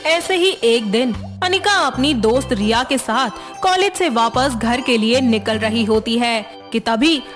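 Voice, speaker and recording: A woman's voice: on the high side, unhurried, hardly any pauses, faint music behind. A woman narrator reading a scripted moral story in the manner of a children's tale.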